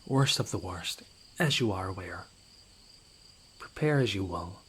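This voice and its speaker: A man's voice: low voice, animated, a little slow, fairly bright tone. A man playing a smug, nonchalant rogue informant in a fantasy audio roleplay, with a theatrical, mocking, playfully menacing way of talking. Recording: noisy outdoor night ambience with crickets. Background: crickets and night insects.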